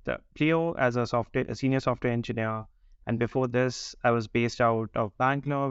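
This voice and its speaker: Very clear-voiced man from India. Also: medium pitch, dry recording, dull audio. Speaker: guest, software engineer. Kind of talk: casual self-introduction.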